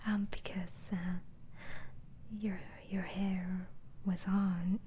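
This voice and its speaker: A woman whispers very slowly in a dark, soft, breathy voice. She plays an interviewing doctor in an ASMR role-play, putting gentle questions with halting pauses. There is clear noise in the recording. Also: on the low side, level tone.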